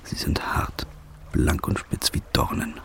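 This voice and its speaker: A man playing a weary, haunted first-person narrator in a radio drama, with a dark, brooding delivery. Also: measured pace, whispered, lightly acted. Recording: music underneath.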